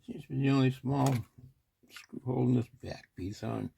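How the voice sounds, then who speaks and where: A man's voice: medium pitch, moderate pace, mellow. A man giving casual hands-on commentary as he works, muttering to himself in short fragments on an amateur recording. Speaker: hobby video host. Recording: clearly noisy amateur recording with clicks.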